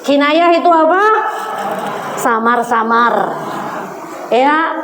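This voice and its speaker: A woman's voice: sits high, takes it slowly. A woman in her forties preaching to a women's congregation in a conversational way, often addressing her listeners directly. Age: 40s. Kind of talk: sermon to a women's congregation, with recited Arabic quotations. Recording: echoey room, faint background noise.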